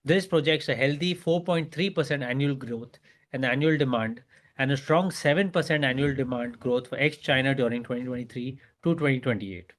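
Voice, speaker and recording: A man's voice: medium pitch, a little fast, fairly bright tone. A man with an Indian accent reads a prepared earnings presentation in a formal, scripted manner as a company executive.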